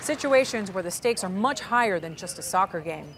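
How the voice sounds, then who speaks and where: A woman host reading a scripted science narration, bright and inviting, asking questions of the viewer. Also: medium pitch, animated, a little fast, noisy background.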